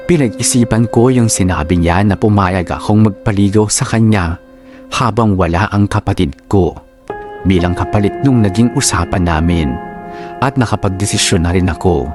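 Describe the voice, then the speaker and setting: A man narrating a love letter for a radio drama in a measured, sentimental, reflective way, as the conflicted confessor. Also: low voice, lightly acted. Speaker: storyteller. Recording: clear music bed under narration.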